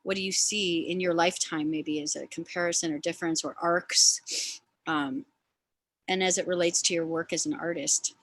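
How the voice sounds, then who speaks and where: An American woman with a lowish voice, recorded dry and close. She interviews casually on a video call, effusive and rambling as she builds toward her questions. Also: fairly even intonation, moderate pace, fairly bright tone, dry recording, rough recording. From US West Coast. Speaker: interviewer, film director. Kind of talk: casual admiring conversation. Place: remote online video call.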